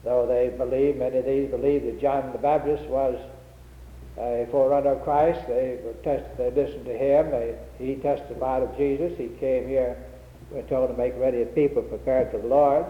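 A man preaching an unscripted sermon with a head cold, speaking in a humble, halting way with frequent restarts. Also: medium pitch, steady tone. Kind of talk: old-fashioned unscripted sermon. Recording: tinny lo-fi recording in an echoey hall.

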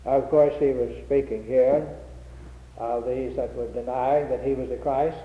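A man preaching an unscripted sermon with a head cold, speaking in a humble, halting way with frequent restarts. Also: mid-range voice, fairly even intonation. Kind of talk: old-fashioned unscripted sermon. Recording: tinny lo-fi recording in an echoey hall.

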